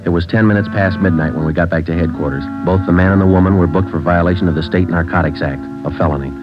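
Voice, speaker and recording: An actor playing a terse police sergeant who narrates in a flat, clipped, matter-of-fact way, in a 1940s radio drama. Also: male voice, sits low, fast talker, over loud music, lightly acted. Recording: dull old radio broadcast.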